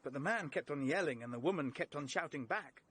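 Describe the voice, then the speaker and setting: An actor playing an earnest, flustered English gentleman, agitated and indignant, in a vintage British radio drama. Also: fairly high pitch, lively intonation, a little fast, slightly rough. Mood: subdued, defensive.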